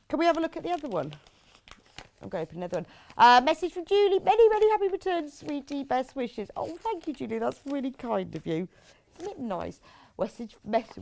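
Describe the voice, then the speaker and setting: A woman's voice: medium pitch, a little mellow. A middle-aged British woman presenting a live craft shopping show, chatting informally in a rambling way with lots of tag questions and reading out viewers' messages. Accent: British English.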